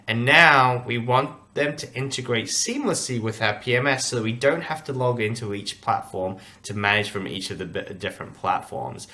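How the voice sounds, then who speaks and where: A man's voice: mid-range voice, sharp tone. Man, podcast host, upbeat, chatty, lots of fillers, remote call. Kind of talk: casual interview chat.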